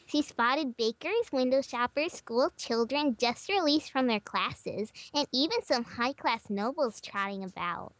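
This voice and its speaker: A woman narrates a story in a high voice. It is an amateur podcast read, with small spoken asides between the narrated sentences. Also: lively intonation, measured pace, few pauses, fairly bright tone, no echo. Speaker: podcast reader.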